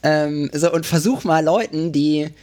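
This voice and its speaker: A man's voice: fairly high pitch, a little slow, a little mellow. A man co-hosting a casual chat about film photography, talking in rambling restarts and laughing often. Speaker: podcast co-host.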